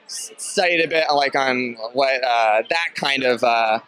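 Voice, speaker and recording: Young man from Massachusetts, actor, relaxed and halting, bright tone. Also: medium pitch, slight background noise, rough recording. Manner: laid-back, hesitant, filler-heavy. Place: film festival red carpet, indoors. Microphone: handheld interview mic.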